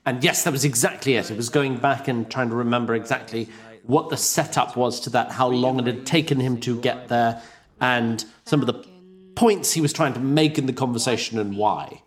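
Reflective man in casual commentary, medium pitch. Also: moderate pace. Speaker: voice actor.

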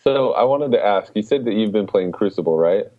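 A man with a low voice hosts a casual gaming podcast. He talks in a laid-back, conversational manner. The recording is a little dull and lo-fi. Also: lively intonation, brisk. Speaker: podcast host.

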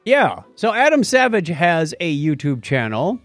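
A man's voice: on the high side, sing-song, measured pace, soft background music. A man hosting a podcast in a casual, conversational way, telling stories with animation. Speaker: podcast host.

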